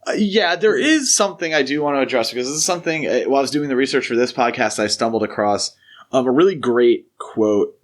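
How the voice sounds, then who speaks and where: Male podcast co-host, mid-pitched voice, casual and analytical, explains as he chats about film. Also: lively intonation, fast talker.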